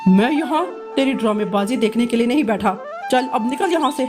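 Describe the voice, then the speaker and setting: A woman's voice: on the high side, animated, lightly acted. A woman voicing a bossy, harsh grown male crow who scolds and orders his worker around in a cartoon, with music playing behind. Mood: contemptuous. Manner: scolding, contemptuous, ordering about. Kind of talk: cartoon voice acting. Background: background music.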